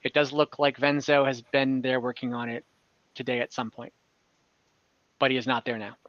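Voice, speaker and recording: Bright-voiced man running a game. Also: medium pitch, flat delivery, no room sound. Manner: informal, halting, thinking aloud. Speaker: game master and host. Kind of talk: casual improvised game narration and chat. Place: online voice call from home. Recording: dull, close online call audio.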